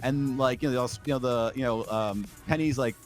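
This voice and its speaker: Male podcast host, mid-pitched, casual but opinionated and ranting, emphatic, swears freely, faint music underneath. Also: a little fast. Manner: emphatic, repetitive, profane. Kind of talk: casual chat turning into opinionated rant.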